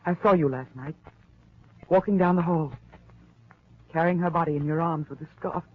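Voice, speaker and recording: A woman's voice: low-pitched, moderate pace. An actress playing a frightened but determined young American woman who witnessed a murder, nervous and insistent, in 1940s radio mystery style. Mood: accusing, afraid. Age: young adult. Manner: nervous, hesitant, then insistent. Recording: dull vintage radio broadcast recording.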